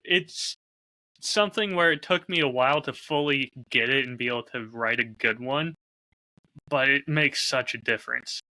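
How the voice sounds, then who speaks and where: Bright, forceful man chatting on a podcast. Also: medium pitch, normal speed. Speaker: podcast host and audio drama creator. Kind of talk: casual podcast chat.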